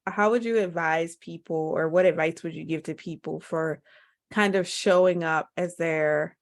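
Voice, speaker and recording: A woman hosts a podcast interview with a slightly low voice. She talks casually and rephrases her long questions as she goes. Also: moderate pace. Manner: conversational, long searching questions. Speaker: podcast host. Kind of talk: interview conversation.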